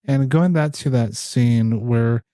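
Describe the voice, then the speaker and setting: Mellow man hosting a podcast chat. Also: medium pitch, takes it slowly, no echo, clean studio sound. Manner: relaxed, steers conversation to next topic. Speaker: podcast host. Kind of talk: casual podcast discussion.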